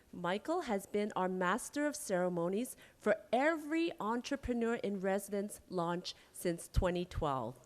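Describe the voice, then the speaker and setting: A woman presenting at a library event, reading a prepared introduction in a measured, formal way. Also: medium pitch, darkish tone.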